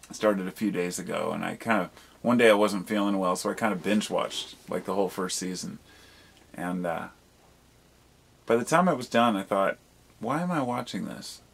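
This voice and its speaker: Low, husky man musing casually. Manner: candid, casually profane; reflective, self-questioning. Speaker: video blogger. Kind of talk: rambling personal monologue, casual chat.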